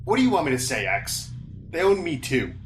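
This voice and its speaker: A woman's voice: deep voice, very animated, fairly quick, music in the background. A woman playing a stern, unyielding corporate security officer in a science fiction audio drama, curt and commanding. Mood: hard, insistent. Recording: noisy, echoing room sound.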